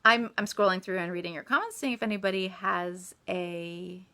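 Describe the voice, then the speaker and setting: A woman's voice: fairly low pitch, moderate pace, pushed and bright. A woman author answering reader questions in a casual, chatty livestream style with emphatic asides.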